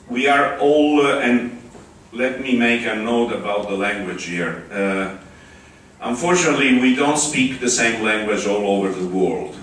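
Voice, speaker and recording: A man with an Italian accent giving a conference talk, pausing often with fillers and restarts. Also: medium pitch, a bit bright. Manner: halting, with many restarts and fillers. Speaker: conference speaker. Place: large conference hall. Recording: echoey hall, far from the mic.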